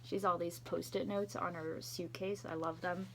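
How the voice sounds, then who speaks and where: A woman's voice: lowish voice, fairly even intonation, moderate pace, background noise. A woman reading a children's picture book aloud expressively, adding chatty asides as a school storytime reader.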